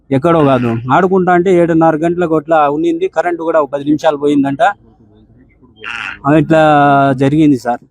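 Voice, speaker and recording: A man's voice: a little high, dark tone, no echo. A man giving an earnest, deferential account on a phone call, as a father speaking to an official. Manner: deferential, often saying sir.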